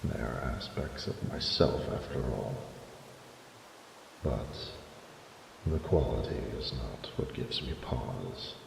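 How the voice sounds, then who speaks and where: A man's voice: extremely low pitch, animated, slow, long pauses, exaggerated voice. A man voicing an ancient, proud and flirty male dragon with pompous, archaic, formal speech in a romantic audio roleplay. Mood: baffled, affronted. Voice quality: gravelly. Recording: roomy cavernous reverb with noticeable noise.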